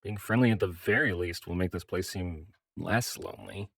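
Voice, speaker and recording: A man voicing a practical, considerate metal man adventurer in a fantasy audio drama, measured and polite. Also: low-pitched, creaky, a bit bright, no echo, clean studio sound, full character voice. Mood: sympathetic.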